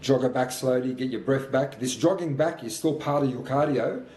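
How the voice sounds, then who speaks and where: A man's voice: medium pitch, normal speed, a little mellow, echoey room. A man of about fifty, talking through his own sprint workout as a fitness coach, motivational and matter-of-fact. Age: 50s.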